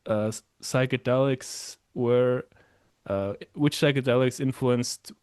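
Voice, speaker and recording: A man's voice: medium pitch, steady tone, slow pace, darkish tone, clean tone, no echo. Man chatting in a dry space. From Iceland. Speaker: podcast host. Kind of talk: casual interview chat.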